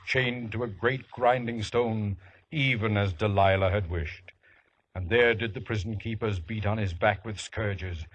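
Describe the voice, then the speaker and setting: A man playing a solemn biblical storyteller in a 1950s radio drama, grave and measured in scriptural style. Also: fairly low pitch, fairly bright tone, a little hiss or hum, lightly acted. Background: music.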